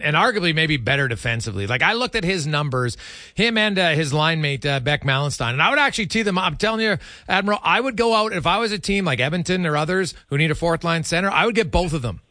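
Male sports radio host, emphatic hockey analyst, sharp bright tone, very dry sound. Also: fairly high pitch, no room sound. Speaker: sports talk show host.

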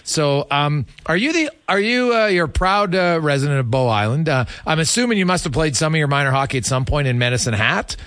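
Male sports interviewer, slightly high voice, casual delivery, very dry close-miked studio sound. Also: animated, brisk, hardly any pauses, a bit bright, no room sound. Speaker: sports radio host.

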